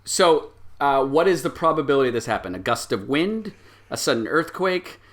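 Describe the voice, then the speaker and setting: A man running a tabletop game as game master, improvising the narration and thinking aloud in a halting way. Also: medium pitch, lively intonation.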